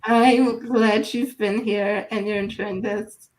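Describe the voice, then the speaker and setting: A woman hosting a live online interview, speaking in an unhurried, halting way as she reads viewer comments aloud. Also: mid-range voice, fairly even intonation, poor audio. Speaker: livestream host.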